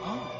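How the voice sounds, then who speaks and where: A man voicing a devoted, sentimental male pigeon in a dubbed cartoon, with earnest, animated delivery. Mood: worried. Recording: muffled lo-fi audio with loud music.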